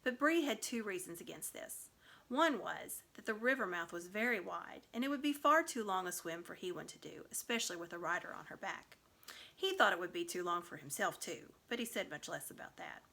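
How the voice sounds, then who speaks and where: Animated woman reading a story aloud. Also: on the low side, fairly quick, creaky. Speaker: storybook reader. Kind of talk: expressive scripted children's story narration.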